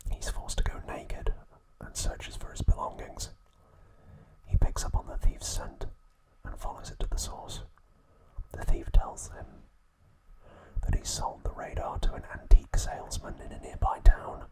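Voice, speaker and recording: Whispering man narrating ASMR trivia. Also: poor audio. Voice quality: breathy, unvoiced whisper. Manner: hushed, measured delivery in short phrases. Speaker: ASMR host.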